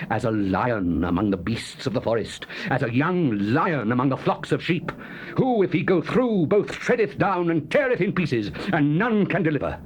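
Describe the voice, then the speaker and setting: A man giving a solemn, theatrical reading of the King James Bible in a declamatory, oratorical manner. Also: medium pitch. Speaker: scripture narrator. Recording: noisy old cassette tape recording.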